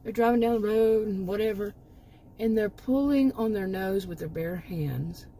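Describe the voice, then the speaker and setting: A woman's voice: medium pitch, moderate pace, warm tone, poor audio. A middle-aged woman hosting her own channel, giving a rambling, emphatic opinion monologue with many restarts and asides while she is losing her voice. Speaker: commentary channel host.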